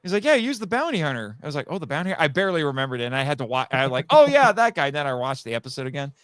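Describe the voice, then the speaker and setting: Clear-voiced man in rambling podcast chat. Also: fairly high pitch, very animated, rapid-fire, a bit bright, no room sound. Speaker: guest, audio drama writer. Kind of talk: casual live podcast chat.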